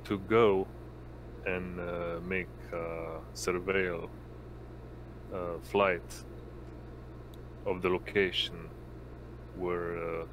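A man improvising narration as a tabletop game master, halting and searching for words, on a noisy voice-chat microphone. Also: low-pitched.

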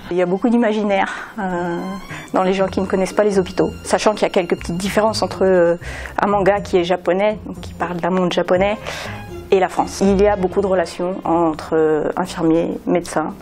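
Measured woman in her forties. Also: lowish voice, steady tone, darkish tone, faint music behind. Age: 40s. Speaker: recovery room nurse. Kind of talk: interview answers.

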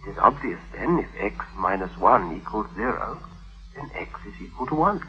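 An actor playing an eager young algebra tutor, brisk, didactic and precise, in 1950s radio drama style. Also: male voice, lowish voice, very animated. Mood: keen. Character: eager young male algebra tutor, aged twenty-one. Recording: muffled 1950s radio broadcast.

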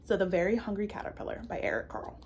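A woman's voice: mid-range voice, big pitch swings, racing, a little mellow. Young woman, story-time reader, theatrical, playful, picture book read aloud, noticeable background noise. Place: indoor room with bookshelves.

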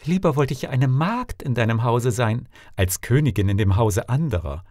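A man's voice: medium pitch, expressive, normal speed, darkish tone, no echo, clean studio sound, close to an everyday voice. A man voicing a devoted young princess in a fairy tale, earnest and imploring in manner. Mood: devoted, tender.

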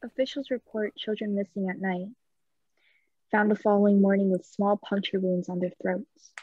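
A woman's voice: mid-range voice, steady tone, moderate pace, muffled recording, natural voice. A woman playing a haunted, fearful young man in a dramatic radio play. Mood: subdued, troubled. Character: haunted, terrified young man, Jonathan Harker. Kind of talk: stage-style radio play acting.

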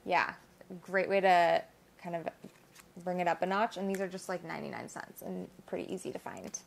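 A woman's voice: fairly low pitch, moderate pace, vocal fry. A young woman hosting a casual vlog, bubbly and enthusiastic, filmed by a camera across a living room. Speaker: host. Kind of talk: casual chat. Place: living room with window, indoors.

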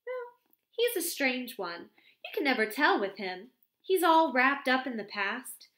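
Gossipy young secretary, high lilting voice, arch and breathless; woman reader puts her on. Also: sing-song, unhurried, fairly bright tone, exaggerated voice. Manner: chatty, breathless, exclamatory. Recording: roomy echo.

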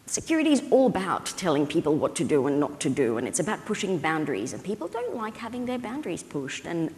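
A woman in her thirties giving an explanatory, conversational conference keynote, with halting, hesitant phrasing. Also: on the low side, very animated, darkish tone. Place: indoor stage at a conference, at a podium. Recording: reverberant hall sound.

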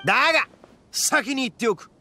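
An actor playing a brash, proud young horned oni gang boss in an anime game, with rough, blunt, swaggering speech. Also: male voice, very high-pitched, expressive, moderate pace, bright, forceful tone, soft background music, exaggerated voice. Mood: resolute.

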